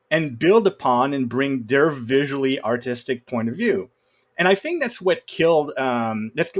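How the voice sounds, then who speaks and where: A man's voice: a little high, fairly bright tone, slightly rough. A man talking casually about films as a podcast panelist, opinionated and fond of acting out imagined quotes to make his points. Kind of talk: casual film discussion.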